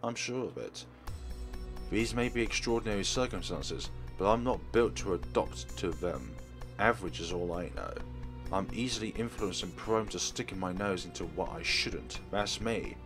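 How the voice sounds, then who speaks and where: Man reading a girl's narration, low voice, plain, some restarts. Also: moderate pace, over music, lightly acted. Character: teenage girl narrator, average and nosy. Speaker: Let's Play reader. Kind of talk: reading game text aloud.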